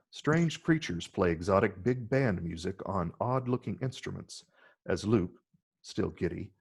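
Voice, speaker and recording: A man with a gravelly, husky voice reading stage directions as scripted narration. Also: low-pitched.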